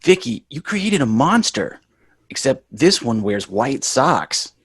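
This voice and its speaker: An exasperated, sarcastic schoolboy from a sitcom, read by a man in a medium-pitched voice. He bosses people about with dry wisecracks, and the sound is close and dry. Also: very animated, takes it slowly, a bit bright, husky, no echo, full character voice. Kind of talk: live sitcom table read.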